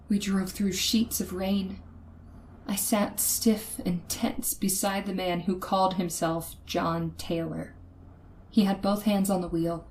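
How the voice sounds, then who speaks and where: A woman's voice: lowish voice, darkish tone. A woman playing a frightened woman motorist in an old-time radio horror drama, with tense, suspenseful, dramatic delivery. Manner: dramatic, breathy gasps and hesitant stammers when afraid. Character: frightened young woman motorist, tense, narrating her terror. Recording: noisy recording with ambient effects.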